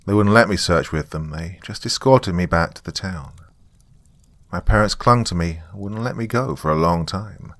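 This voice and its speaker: Male narrator for scripted horror fiction: low register, very dry recording, dramatic first-person read. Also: normal speed, a bit bright, dry recording.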